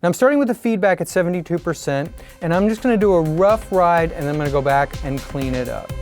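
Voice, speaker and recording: A man's voice: a little high, quick pace, a little mellow, faint music behind. An American man in his forties giving plain-spoken, scripted tutorial narration in a studio. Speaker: tutorial host. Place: studio, indoors.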